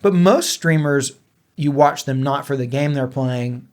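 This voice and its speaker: A man's voice: medium pitch, measured pace. A man co-hosting a gaming podcast, talking informally and thinking aloud in easy conversation. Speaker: podcast co-host. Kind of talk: casual conversational podcast chat about gaming.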